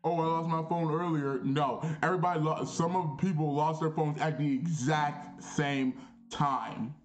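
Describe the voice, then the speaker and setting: A young man vlogging in a casual, animated, slangy storytelling way, repeating phrases for emphasis in a small bedroom. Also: fairly high pitch, moderate pace, echoey room, soft background music. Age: young adult.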